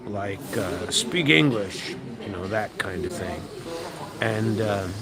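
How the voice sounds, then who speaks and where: An American man and radio host tells a meandering story, stopping for fillers and restarting his sentences. His voice sits somewhat low. Steady noise from the restaurant around him fills the background. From United States. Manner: rambling, many fillers and restarts. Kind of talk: casual storytelling monologue. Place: restaurant or bar, eating while talking.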